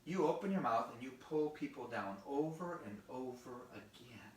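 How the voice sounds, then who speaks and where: Kindly dad, played by a man: patient, gently firm, encouraging, expressive, heard in an echoey room. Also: mid-range voice, normal speed, voice pushed down, natural voice. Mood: gently admonishing. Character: patient, encouraging storybook father. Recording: very echoey room, faint noise, distant.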